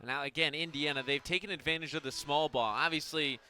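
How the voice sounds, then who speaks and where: Male color analyst, softball radio broadcast, slightly high pitch, sharp bright tone, conversational, informal, explanatory. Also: fairly even intonation, a little fast.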